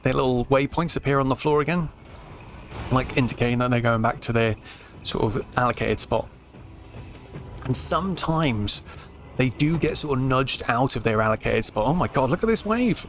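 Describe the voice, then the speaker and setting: Croaky British man, casual gaming commentary. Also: medium pitch, expressive, normal speed, fairly bright tone, slight background noise. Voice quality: slightly croaky. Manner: laid-back, explanatory, informal. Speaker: let's play host.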